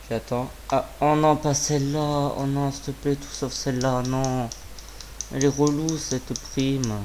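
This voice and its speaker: A man with a mid-pitched, slightly hoarse voice commentates casually over his own gaming, talking to himself in loose, slangy speech with swearing. Clear background noise and keyboard clicking sit behind his voice. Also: darkish tone. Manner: informal, muttering to himself, swearing. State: sore throat with a cough. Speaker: gaming video creator. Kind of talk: casual live gameplay commentary.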